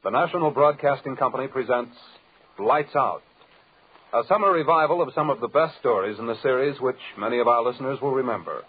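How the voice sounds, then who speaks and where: A man's voice: mid-range voice, fairly quick, husky. A man announcing formally from a script in 1940s network radio style. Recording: muffled, phone-like 1940s radio recording.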